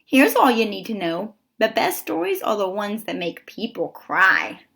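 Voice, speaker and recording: A woman's voice: medium pitch, sing-song, measured pace, gravelly, fairly bright tone, full character voice. A woman voicing an opinionated, confident aunt in a children's storybook, speaking in an emphatic, knowing way. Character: opinionated aunt giving confident writing advice. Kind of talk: children's storybook read-aloud.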